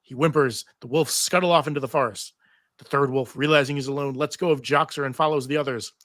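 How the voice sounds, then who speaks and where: A man's voice: medium pitch, fairly even intonation, fast talker, fairly bright tone, dry recording, clean studio sound. A man narrating stage directions from a script at a live table read.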